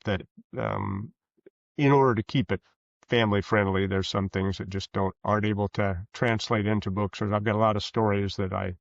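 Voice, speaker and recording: Male interview guest, low and gravelly, halting and discursive, on a muffled lo-fi recording. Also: no echo. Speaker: guest author. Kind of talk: interview answers, conversational. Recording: dull, lo-fi sound.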